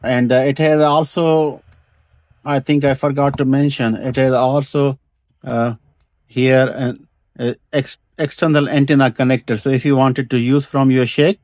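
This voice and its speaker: Male hobbyist presenter, non-native English speaker, medium pitch, unscripted and hesitant delivery in short phrases, dry recording. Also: fairly even intonation, darkish tone, no echo. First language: not English. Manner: halting, hesitant, pausing between short phrases. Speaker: vintage radio hobbyist presenter. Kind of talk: casual product showcase, unscripted demonstration.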